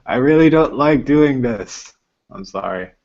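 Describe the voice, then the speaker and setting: A man's voice: medium pitch, expressive, unhurried, a little mellow, slight background noise. A man talking casually through a tabletop roleplaying game, muttering counts and explaining rules in a hesitant way, heard over lo-fi voice chat. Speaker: tabletop roleplaying game master or player rolling combat.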